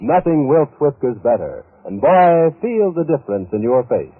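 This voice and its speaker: A man's voice: mid-range voice, very animated, moderate pace, slightly rough, no room sound. A man announcing on 1940s radio, delivering sponsor copy and network identification. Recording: tinny, noisy vintage radio recording.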